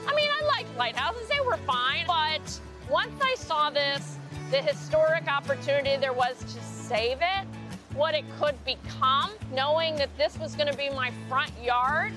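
A middle-aged woman answers interview questions outdoors by a lake, her voice high and eager. She talks candidly, with music clearly playing beneath her. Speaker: lighthouse owner being interviewed.

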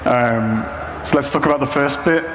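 A man speaks as a conference presenter, explaining technical steps in a measured, halting way. His middle-pitched voice rings through a reverberant hall, with clear background noise. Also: fairly bright tone. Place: indoor talk stage with projection screen.

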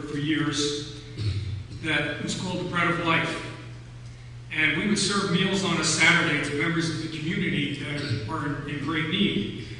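An older man gives a sermon in a deliberate, phrase-by-phrase delivery. His voice sits a bit high for a man and carries through a big church hall with long, heavy reverb. A noticeable hum runs under the distant recording. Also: sharp tone. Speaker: preacher. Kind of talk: sermon, telling stories from notes. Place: large church sanctuary, at the pulpit.

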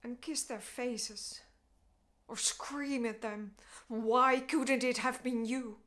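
A woman performing a stage monologue as a grieving, bitter mother confronting her ex-husband, speaking in deliberate, emotionally intense phrases. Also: a little high, fairly even intonation, fairly bright tone. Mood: accusing, distraught.

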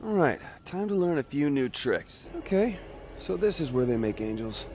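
A man's voice: a little high, very animated, normal speed, background noise. An actor playing a cocky, sarcastic young American man with superpowers in a video game cutscene, brash and slangy. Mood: casual, smug. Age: young adult.

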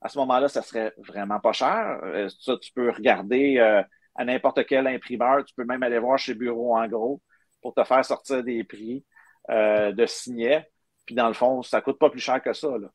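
A man's voice: medium pitch, steady tone, moderate pace, dry recording. Quebec man explaining, dry close sound. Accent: Quebec French. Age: middle-aged. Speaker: guest, maker of digital book bookmarks. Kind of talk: conversational explaining in a live interview. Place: indoor room, on a video call. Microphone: webcam video call.

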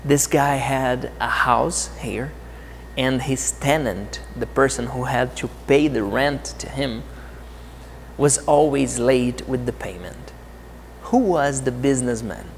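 Man, 30s, Brazilian accent, friendly English lesson to camera, slightly gravelly, long reverb. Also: medium pitch, slight background noise. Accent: Brazilian Portuguese. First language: Portuguese. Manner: deliberate, friendly teaching delivery. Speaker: English teacher. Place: outdoor courtyard of a TV set.

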